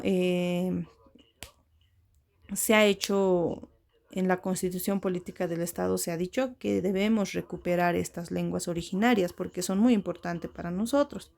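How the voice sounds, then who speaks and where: A woman's voice: lowish voice, gravelly, a little mellow. A woman teacher, giving a patient classroom lesson to children and often checking that they follow. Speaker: schoolteacher.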